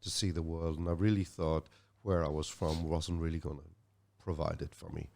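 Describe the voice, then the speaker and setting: A middle-aged Irish man with a Dublin accent talks in a very low voice. He rambles through his stories in casual interview chat, often restarting a phrase midway. His tone is low and unhurried. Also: level tone, vocal fry, darkish tone. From Ireland. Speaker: guest musician.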